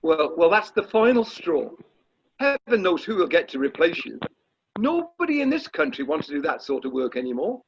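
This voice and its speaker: A man's voice: fairly high pitch, sing-song, normal speed, creaky, a little hiss or hum. An amateur actor playing a pompous, irritable middle-aged British drama society chairman in a radio play. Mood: indignant. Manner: pompous, self-dramatising, exasperated. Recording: muffled, lo-fi video call audio.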